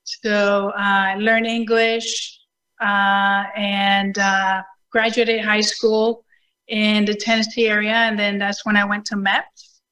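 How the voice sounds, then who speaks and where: A woman's voice: medium pitch, little pitch movement, slow, bright, forceful tone. A woman with a Puerto Rican accent, telling her personal story as a guest in a remote interview. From Puerto Rico. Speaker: show guest, Air Force veteran. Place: video call.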